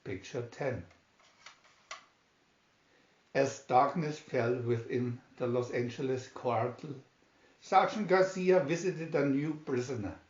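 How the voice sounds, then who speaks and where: A man reading a story aloud as a narrator, with deliberate, dramatic delivery. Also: medium pitch. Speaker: storyteller reading a picture booklet. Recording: dull lo-fi, echoey room.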